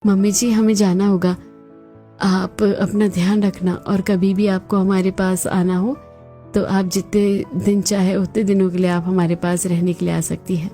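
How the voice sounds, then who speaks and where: A woman's voice: medium pitch, little pitch movement, moderate pace, warm tone, faint music behind, lightly acted. A woman voicing a kind young Indian daughter-in-law, polite and earnest, in a cartoon family drama. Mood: kind, reassuring.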